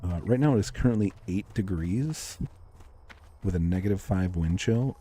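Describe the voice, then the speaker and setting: A young man livestreaming a game, chatting calmly and casually with his viewers and thanking them for comments. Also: low voice, normal speed. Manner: laid-back, calm, chatty with viewers. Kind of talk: casual live-stream chat reading comments.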